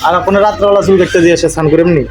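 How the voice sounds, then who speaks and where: Quick bantering guy on a phone video call, slightly high voice. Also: steady tone, background noise. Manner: teasing, repeats phrases. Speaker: vlogger on a family video call. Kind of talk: casual family chat.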